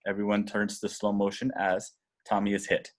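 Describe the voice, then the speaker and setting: A man reading screenplay action directions aloud in a deliberate, dramatic way. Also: on the low side, completely flat delivery. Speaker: stage directions reader.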